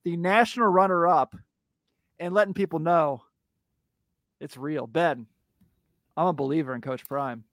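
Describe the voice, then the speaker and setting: A man hosting a casual sports podcast, hyped and exclamatory, with a raspy voice today. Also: on the high side, a bit bright, no room sound, studio quality. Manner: hyped, exclamatory, drawn-out hype words. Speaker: podcast host.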